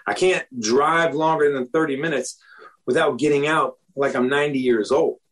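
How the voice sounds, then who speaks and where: A man in his forties, a doctor, talking casually in an interview, often checking in with "okay?" and "you know?" and clearing his throat now and then. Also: on the high side, fairly even intonation, normal speed, a bit bright, poor audio. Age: 40s. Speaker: doctor, guest.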